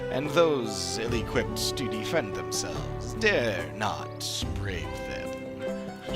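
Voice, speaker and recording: A man voicing a large, courteous and earnest guardian creature from a video game, speaking in formal, measured phrases. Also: mid-range voice, voice pushed up.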